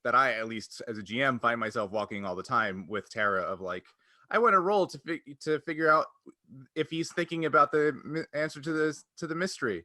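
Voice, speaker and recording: A man's voice: medium pitch, a little fast, bright, forceful tone, no room sound, studio quality. A man hosting a podcast and acting as game master, explaining things casually in a rambling way that often interrupts itself.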